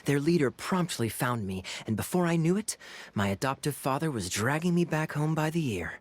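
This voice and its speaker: An actor playing a suave, sly male knight captain in a video game cutscene, teasing and smooth with playful chuckles. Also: a little high, very animated, normal speed.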